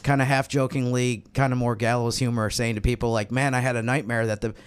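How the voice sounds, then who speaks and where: A man's voice: mid-range voice, little pitch movement, fast talker, clean tone. A man co-hosting a casual sports roundtable, talking in a conversational, self-deprecating way. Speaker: sports podcast co-host.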